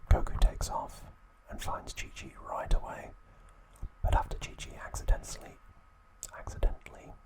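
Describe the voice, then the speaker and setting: A man narrating scripted trivia as an ASMR host in a soft, breathy, unhurried whisper. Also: poor audio.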